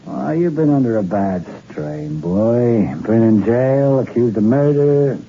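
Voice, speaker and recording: An actor playing a gruff, blunt police lieutenant in an old radio drama. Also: male voice, mid-range voice, lively intonation, takes it slowly. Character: tough, weary middle-aged police lieutenant. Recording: dull 1960s radio drama recording with faint hiss.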